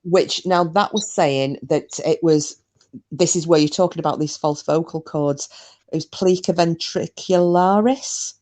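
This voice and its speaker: A woman's voice: low-pitched, a little slow, a little mellow. A woman co-hosting a podcast, chatting casually about a paranormal case, with lots of fillers and restarts. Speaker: podcast co-host.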